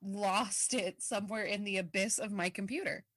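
A woman's voice: mid-range voice, moderate pace, fairly bright tone, dry recording, clean studio sound. A woman co-hosting a casual podcast, chatty and full of fillers, reading listener mail and giving advice. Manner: chatty, filler-heavy, laughs easily. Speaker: podcast co-host.

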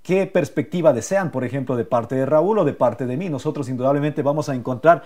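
A man's voice: medium pitch, brisk, a little mellow. A man hosting a live motivational podcast, speaking earnestly and directly to the viewers. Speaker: podcast host.

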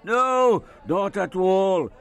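A man playing an excitable, kindly village man in an audio drama, speaking emphatically. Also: high voice, extremely slow, smooth, a faint crowd, full character voice. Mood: straining to hear.

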